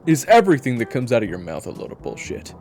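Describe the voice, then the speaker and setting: A man playing a gruff, sarcastic and fastidious monster hunter in an audio roleplay, dry, scolding and blunt. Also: mid-range voice, wildly swooping pitch, a little mellow, soft background music.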